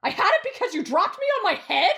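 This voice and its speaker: A woman voicing an anxious, melodramatic young man in an anime fan roleplay, fretful and excitable. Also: extremely high pitch, fast talker, vocal fry, exaggerated voice. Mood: distraught, indignant. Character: anxious, whiny, dramatic, devoted young man.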